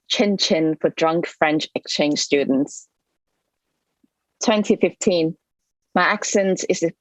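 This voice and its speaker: Woman, Hong Kong English accent, low voice, dry close sound, soft and measured, poetry reading over a video call. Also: no echo, poor audio. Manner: soft-spoken, measured, with frequent ums. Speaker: poet reading her work. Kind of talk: poetry reading with spoken introductions. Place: home, at an online reading. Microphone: computer mic on a video call.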